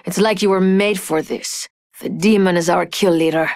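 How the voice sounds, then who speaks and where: Lady doing a scornful sultry thief for a game, lowish voice, really dry sound. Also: fairly even intonation, moderate pace, a little mellow, dry recording, lightly acted. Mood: scornful, bitter.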